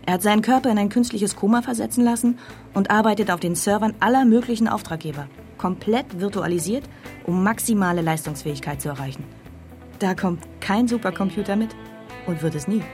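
A woman plays a young student in a naturalistic radio drama. She sounds dry, determined and unflustered, in a mid-pitched voice with faint music behind. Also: a little fast, a little mellow, lightly acted. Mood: dry, reflective. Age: young adult.

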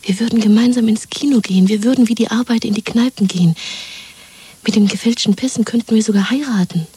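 Dreamy young woman plotting escape with her lover: very breathy whisper, soft mellow tone, coaxing and intimate, radio play. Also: a little high, fast talker, no room sound. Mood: eager, dreaming. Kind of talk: 1970s German radio drama acting.

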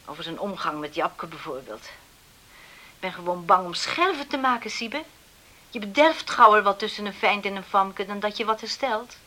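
A woman's voice: medium pitch, lively intonation, normal speed, sharp tone. A woman playing a worried, middle-aged widowed farm mother in a Dutch radio drama, earnest and confiding. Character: worried widowed farm mother dreaming of settled married sons.

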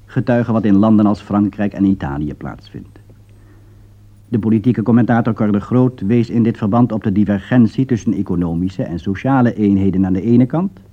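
Lively-pitched Dutch man narrating, dull old recording. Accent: Dutch, from the Netherlands. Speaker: radio documentary narrator. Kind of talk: scripted political commentary, 1960s radio.

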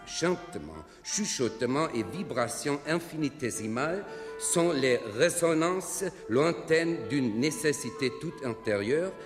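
A solemn male announcer, played by an actor, presents a classical concert for a radio play. His delivery is formal and florid, pitched in the middle. The voice rings with long hall reverb over clearly audible music. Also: natural voice. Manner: formal, high-flown.

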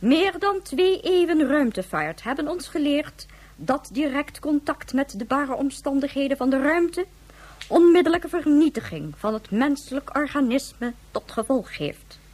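A stern government leader in a radio drama. Her high voice moves widely in pitch, and her delivery is formal, sceptical and authoritative. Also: animated, measured pace, a little mellow. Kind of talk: Dutch radio drama acting.

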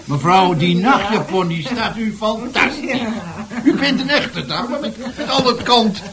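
Coarse drunken intruder in radio drama, mocking and revelling, busy crowd-like noise behind him. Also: male voice, sits high, animated, a little slow. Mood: mocking revelry. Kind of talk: Dutch radio drama acting.

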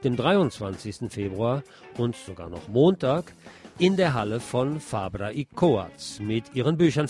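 A male radio presenter reads scripted magazine news in a mid-pitched voice. Guitar music plays behind him as he delivers the reports. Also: a little mellow. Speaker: radio host and presenter, also an author.

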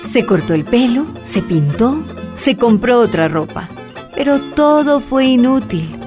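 A woman narrates a radio drama in a steady, measured way, her voice in a middle range. Music runs clearly beneath her. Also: fairly high pitch.

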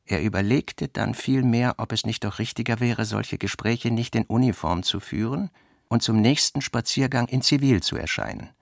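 A man narrating a literary radio play in a measured, expressive storytelling manner. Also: mid-range voice, gravelly, no room sound, clean studio sound.

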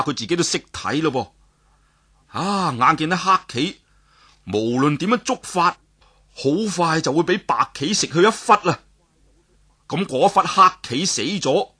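Cantonese guy telling a kung fu story, husky voice, swings way up and down, lots of drama. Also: mid-range voice. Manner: lively, dramatic storyteller with sudden exclamations and voiced characters.

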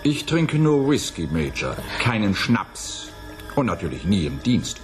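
An actor playing a cynical, hard-drinking biologist in a theatrical, sardonic radio drama style. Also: male voice, on the low side, very animated, measured pace, music in the background. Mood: indignant. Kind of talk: 1980s German radio drama acting.

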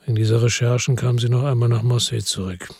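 An actor playing a world-weary police chief, narrating in a slow, measured, tired way in a radio drama. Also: male voice, mid-range voice, level tone, a little mellow, breathy edge, no echo, clean studio sound. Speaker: first-person narrator.

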